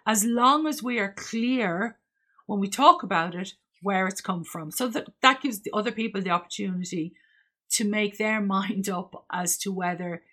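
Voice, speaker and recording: A woman's voice: medium pitch, normal speed, a bit bright. A woman from Ireland hosting a solo podcast, talking in a reflective, earnest way and thinking aloud with small hesitations. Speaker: podcast host.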